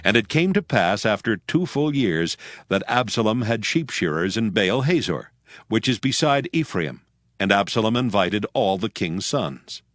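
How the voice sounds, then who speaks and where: A man's voice: fairly low pitch, sing-song, normal speed, fairly bright tone, no echo, clean studio sound. A man giving a solemn scripted narration of scripture in archaic English. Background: faint music.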